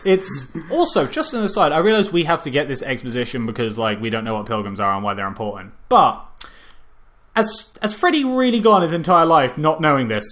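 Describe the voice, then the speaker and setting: Profane, rambling podcast host. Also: male voice, on the high side, expressive, brisk, fairly bright tone. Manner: rambling, profane, stumbles and restarts. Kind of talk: casual film review chat.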